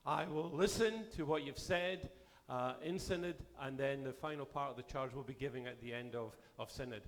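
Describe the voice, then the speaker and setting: A man serving as bishop, delivering a formal address to a church assembly while losing his voice, with a measured pace and sentences that trail off. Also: medium pitch, slight background noise. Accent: Canadian.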